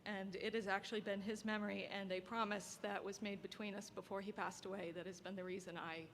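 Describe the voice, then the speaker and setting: A soft-spoken young woman giving an earnest, heartfelt graduation speech as an award recipient, at a podium microphone. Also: medium pitch, flat delivery, a little fast. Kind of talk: formal prepared speech. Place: ceremony hall. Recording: long hall reverb, faint background noise.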